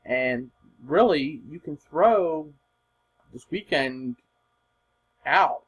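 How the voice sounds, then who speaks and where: A man giving informal, unscripted weather forecast commentary in an emphatic, husky voice, repeating points for stress. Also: on the high side, animated, drawn-out words, highs cut off.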